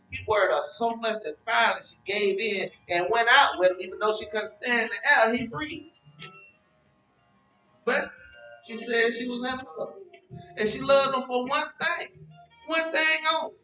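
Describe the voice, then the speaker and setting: A man's voice: high voice, pushed and bright. An actor playing a sly, gossipy, mischievous man in a stage play, spinning folksy anecdotes in an American accent. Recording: echoey stage, distant mic, music.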